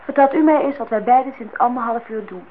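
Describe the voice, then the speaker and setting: High-voiced cheeky young woman actress, tinny recording. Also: fast talker, close to an everyday voice. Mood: challenging. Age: young adult. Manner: pointed questioning, mocking formal address. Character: cheeky, probing young woman, unimpressed by elders. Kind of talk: Dutch radio play acting. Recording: tinny, noisy old radio recording.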